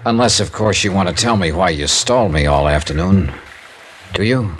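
A noisy old radio recording of a hard-nosed American male investigator. His voice sits low but moves around a lot in pitch. He is dry, wry and pressing, in clipped 1950s detective drama style. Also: animated, moderate pace. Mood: blunt, wry. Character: blunt, wry, persistent male insurance investigator. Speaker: lead actor and first-person narrator.

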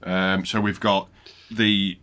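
A man's voice: deep voice, little pitch movement, slow pace, pushed and bright, clear, resonant tone. A man co-hosting a podcast, recapping a film in casual, sweary, dry chat. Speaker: podcast co-host.

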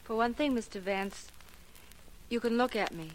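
A woman's voice: on the high side, moderate pace, a little hiss or hum, lightly acted, poor audio. An actress playing a plain, resigned woman of thirty-four in a 1940s radio drama, confiding in hesitant, stammering phrases. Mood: earnest, insistent.

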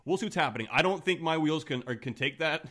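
Deep-voiced chatty Canadian man. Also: a little fast. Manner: relaxed and chatty, trailing off quietly at phrase ends. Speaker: podcast co-host. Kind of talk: casual chat.

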